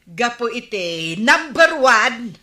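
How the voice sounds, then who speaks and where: Echoey room; nagging, worried village woman, fairly high bright voice, Ilocano accent, radio drama. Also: very animated, slow pace, full character voice. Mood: scolding. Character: scolding, worried woman warning the village captain.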